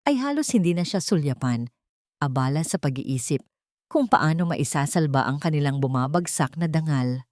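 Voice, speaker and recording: Low-voiced woman narrating a dramatic story. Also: darkish tone, no echo, studio quality.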